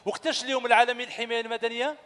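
A man's voice: extremely high pitch, very fast. A man in his thirties with an Algerian Arabic accent, hosting a children's show and quizzing children on stage with a handheld mic in an echoing sports hall. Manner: animated, coaxing, asks children questions. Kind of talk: lively quiz with children on stage. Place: large indoor sports hall full of children. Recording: echoey hall, loud crowd.

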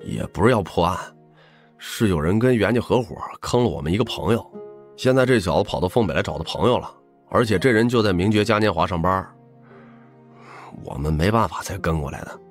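Man playing a cheeky lowish-voiced young buddy, animated. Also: fairly quick, faint music behind. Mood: coaxing. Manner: playful, wheedling. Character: cheeky, eager, teasing young male buddy. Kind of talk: audiobook drama acting.

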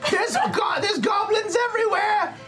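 A woman's voice: extremely high pitch, moderate pace, shouting, loud music behind the voice. A woman playing a tabletop role-playing game with theatrical, declaiming delivery and improvised banter at a studio table.

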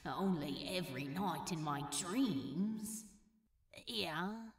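A woman's voice: fairly low pitch, very slow, husky, dry recording, clean studio sound, voice pushed down, full character voice. A woman voicing a desperate, flustered captured male superhero in a storybook reading, stammering and blurting with sarcastic edges. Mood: wry, subdued. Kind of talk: acted fan fiction audiobook reading.

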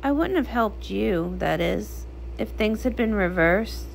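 A woman's voice: medium pitch, lively intonation, measured pace, gravelly, slight background noise, low-quality recording. A woman voicing a guarded, solemn sea queen with a curt, grave manner in a comic read-along. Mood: guarded, regretful.

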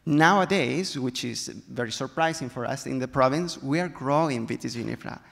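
A man's voice: mid-range voice, a little fast, gravelly, a bit bright. A man in his thirties with a Chilean Spanish accent, a native Spanish speaker, explaining carefully as a panelist on a stage with a handheld mic. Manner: explanatory, careful phrasing in second language. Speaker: panelist, viticulture specialist. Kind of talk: panel discussion. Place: stage in a large hall. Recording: long hall reverb.

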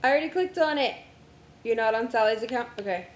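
Young woman streamer, voice going hoarse, mutters to herself clicking thru menus, a bit high, faint hiss behind. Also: animated, fast talker, roomy sound. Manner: distracted, talks to herself while navigating menus. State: losing her voice, hoarse. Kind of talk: casual live-stream chat with friends.

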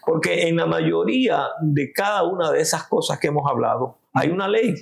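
A man's voice: expressive, normal speed, creaky, reverberant. A Dominican man in his fifties, speaking Spanish with a Dominican accent as a political guest in a seated interview, arguing emphatically in a living room. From Dominican Republic. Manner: emphatic, gesturing, rising in pitch when stressing points.

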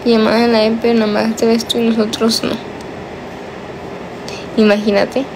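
A nineteen-year-old woman chats and teases on a livestream, her voice a little high and her words sometimes drawn out. She speaks into a phone held close in a small bedroom at night. A faint air-conditioner hum runs underneath. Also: completely flat delivery. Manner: chatty, teasing, drawn-out words. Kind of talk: casual livestream chat, reading viewer comments. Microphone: phone held close, selfie framing.

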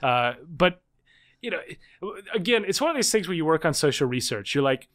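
A man in his thirties, a guest author and researcher, talking fast and animatedly with many restarts in a casual interview. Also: a little high, big pitch swings, fairly bright tone. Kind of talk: casual long-form interview.

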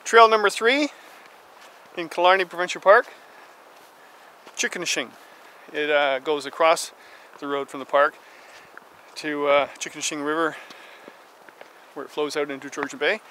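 A man's voice: a little high, normal speed, long pauses, a little hiss or hum, low-quality recording. A middle-aged man telling a rambling bedtime story in a tent and talking about a hiking trail to a handheld camera. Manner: rambling, chuckling, animated storyteller. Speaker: camping vlogger. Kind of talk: casual storytelling and trail commentary. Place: inside a tent at night, then outdoors on a trail. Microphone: handheld camera at arm's length.

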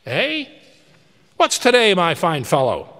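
Man doing old repentant miser, fervent, exclamatory, high-pitched, long echoing reverb. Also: very animated, measured pace. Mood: giddy, joyful. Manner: impassioned, exclamatory.

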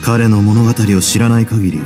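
An actor playing a composed, wry young duke who runs a prison in a game, measured and nonchalant with quiet authority. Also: male voice, lowish voice, fairly even intonation, music in the background, lightly acted. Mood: reflective. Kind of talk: game voice acting.

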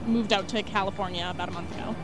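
A woman's voice: mid-range voice, fairly even intonation, rapid-fire, music in the background. A woman in her twenties with an American accent, giving a casual on-camera interview as an auditionee. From Manchester, New Hampshire, USA. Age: 20s. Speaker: singing contest auditionee being interviewed. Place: outdoors in a waiting crowd by a concrete wall. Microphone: handheld interview microphone.

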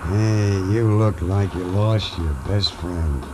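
An actor playing a nervous, chatty small-time American hustler, stammering and slangy, in a 1940s radio drama. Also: male voice, low-pitched, slow pace. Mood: chatty, excitable. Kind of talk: 1940s radio character acting. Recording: noisy old 1940s radio recording.

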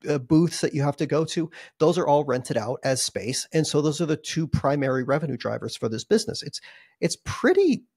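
Gravelly husky man on a video call. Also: on the high side, big pitch swings, fairly quick, darkish tone, dry recording, studio quality. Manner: rambling, many restarts and fillers. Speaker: investing analyst guest. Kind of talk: casual video call discussion. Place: small home office. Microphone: desk podcast mic on video call.

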